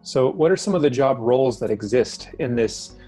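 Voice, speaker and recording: A man's voice: mid-range voice, expressive, normal speed, mellow, faint music behind. A young man hosting an interview, speaking in an upbeat, friendly way over earbuds from a home room with bookshelves. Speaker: host. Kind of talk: casual interview on a video call. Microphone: earbuds on a video call.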